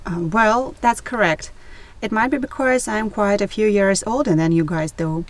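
Young woman reading calmly. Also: medium pitch, normal speed. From Russia. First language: Russian. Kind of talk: read-aloud scripted conversation.

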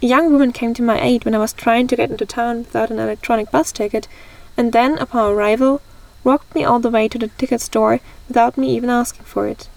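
Young woman reading with a gravelly edge. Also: on the high side, fairly even intonation, fast, darkish tone, poor audio. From Germany. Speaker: host. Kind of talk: read-aloud scripted conversation.

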